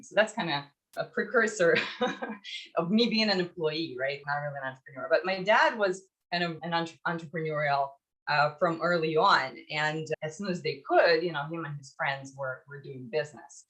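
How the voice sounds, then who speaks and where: Low-pitched woman from Ukraine, reflective. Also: moderate pace, a bit bright, low-quality recording. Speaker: podcast guest, startup founder. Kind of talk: conversational interview storytelling.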